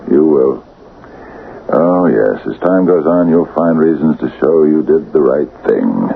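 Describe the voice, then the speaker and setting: Casting: conflicted small-town man, gravelly and halting, swinging intonation, vintage radio drama on thin, telephone-like sound. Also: extremely low pitch, expressive, full character voice. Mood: bitterly rationalizing. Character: troubled townsman reluctantly giving in to a murder plot. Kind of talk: 1970s radio drama acting. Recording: tinny, noisy old radio broadcast.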